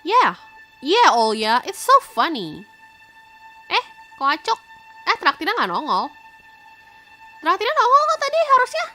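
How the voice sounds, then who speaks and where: Lively Indonesian-accented woman streamer. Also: very high voice. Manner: expressive, reacts loudly, switches quickly between reading and chat. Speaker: VTuber streamer. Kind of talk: reading a visual novel aloud and chatting with viewers. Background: game music.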